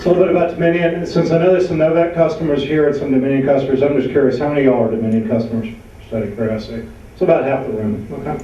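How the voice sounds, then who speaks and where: Man, from New York, loud voice, slide talk, many uh fillers, distant mic, very echoey room. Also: medium pitch, fairly even intonation, rapid-fire. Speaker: panel speaker. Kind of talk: slide presentation to a local audience. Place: large meeting room with an audience. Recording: far-off, dull, very echoey hall.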